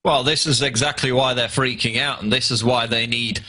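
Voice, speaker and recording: A man's voice: mid-range voice, steady tone, normal speed, pushed and bright. A man speaking as a guest commentator, arguing his political points in an insistent, articulate way.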